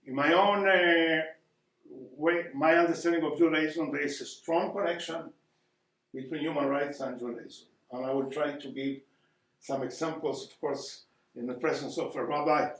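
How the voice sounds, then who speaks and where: A man's voice: fairly high pitch, steady tone, normal speed, a bit bright. An older man from Argentina with an Argentine Spanish accent gives an earnest public lecture, often hesitating and repeating words as he searches for them. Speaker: guest speaker. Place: community hall. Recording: echoey hall, distant mic.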